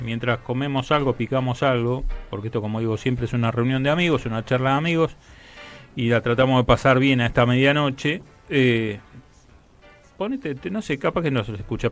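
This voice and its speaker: A man with an Argentine Rioplatense accent hosting a casual late-night radio interview in an informal, conversational way. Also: mid-range voice. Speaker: radio host interviewing a guest.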